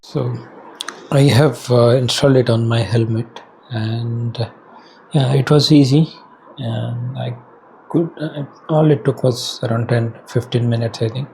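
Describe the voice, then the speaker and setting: A man with an Indian accent giving casual unboxing and installation commentary as a product reviewer, halting and hesitant as he thinks aloud. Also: medium pitch, steady tone, mellow. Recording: clearly noisy background ambience.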